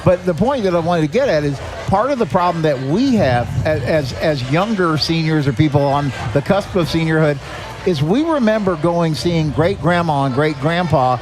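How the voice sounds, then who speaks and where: A man's voice: a little high, lively intonation, moderate pace. An older American man talking earnestly and conversationally as a podcast guest, telling anecdotes and acting out bits of dialogue. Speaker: guest, executive director of a senior help organization. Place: live podcast at a restaurant. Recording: music behind the talk. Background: music.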